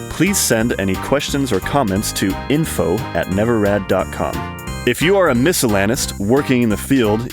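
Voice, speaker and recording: A man reading scripted show credits as an announcer. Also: lowish voice.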